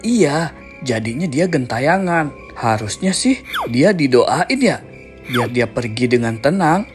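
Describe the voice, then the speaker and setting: A woman voicing a cautious, protective boy in an animated cartoon. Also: extremely low pitch, takes it slowly, full character voice. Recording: music behind the voice.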